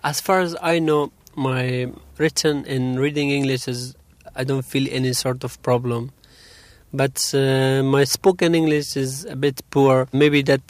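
Young guy, halting and hesitant, flat delivery, dry close sound. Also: mid-range voice, dry recording. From Afghanistan. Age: young adult. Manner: hesitant, halting, many pauses. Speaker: interviewee, English learner. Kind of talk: interview answers.

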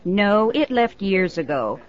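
An actress playing an elderly woman from Germany, a brisk, matter-of-fact nursing home resident. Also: mid-range voice, very animated. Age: older. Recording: dull lo-fi recording.

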